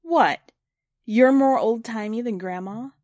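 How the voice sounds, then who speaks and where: A woman's voice: on the high side, takes it slowly, darkish tone, dry recording, muffled recording, pitched above the performer's own voice. Woman reading as a bold teasing teen girl in a story, playful, close dry sound.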